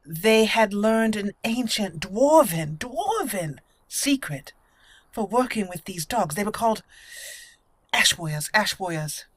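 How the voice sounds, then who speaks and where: A woman voicing a thoughtful female elf mage, telling her story in character with deliberate phrasing. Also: mid-range voice, lively intonation, no echo. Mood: reflective, solemn.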